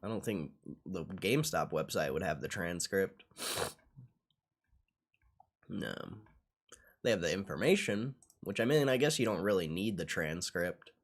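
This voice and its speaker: Slow, animated young man livestreaming. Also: sits low. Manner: slangy, swearing, repeats phrases. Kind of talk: casual livestream chat, reacting to what is on screen.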